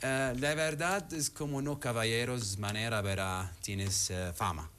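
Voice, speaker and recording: A man with an Arabic accent, a guest in a casual interview, speaking in halting non-native phrasing with frequent pauses. His first language is Arabic. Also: mid-range voice, fairly even intonation, a bit bright, slight background noise.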